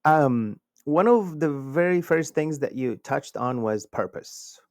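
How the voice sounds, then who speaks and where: Egyptian-born man in his forties, animated storyteller, frequent chuckles, dry close sound. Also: fairly high pitch, dry recording. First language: Egyptian Arabic. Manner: animated, chuckles often, quick bursts. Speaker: podcast guest, communication researcher. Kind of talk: conversational storytelling.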